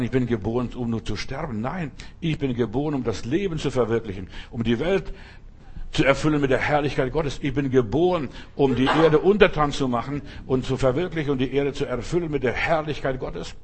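Husky preacher man, insistent refrains. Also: medium pitch, fairly quick, a little mellow. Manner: emphatic, repetitive, addresses listener directly. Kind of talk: sermon.

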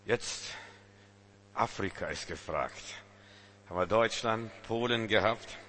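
A man hosting a church evening, making short brisk announcements. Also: lowish voice, pushed and bright, long reverb tail, slight background noise. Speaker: event host.

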